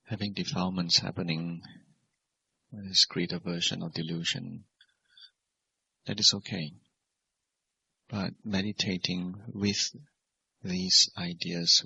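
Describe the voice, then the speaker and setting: Low, husky man guiding a meditation. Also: flat delivery. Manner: measured, simple phrasing with gentle questions. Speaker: Buddhist monk and meditation teacher. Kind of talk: guided meditation instruction. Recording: dull, lo-fi recording.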